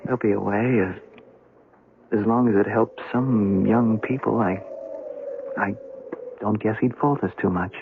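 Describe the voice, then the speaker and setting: A man's voice: fairly low pitch, fairly even intonation, measured pace, creaky, pauses often. An actor playing an earnest, persuasive young man in a radio drama, with a sincere, slightly hesitant delivery. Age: young adult. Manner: hesitant repetitions, urgent sincerity. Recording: muffled, noisy old radio recording.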